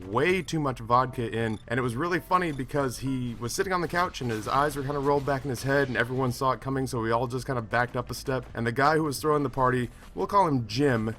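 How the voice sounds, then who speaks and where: A young man with an American accent and a medium-pitched voice tells a comic anecdote. His delivery is casual and conversational, with swearing and acted-out dialogue woven in. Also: fast talker, few pauses, fairly bright tone. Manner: casual, profane, acts out dialogue. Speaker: storyteller, video host. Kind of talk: animated comedic storytelling.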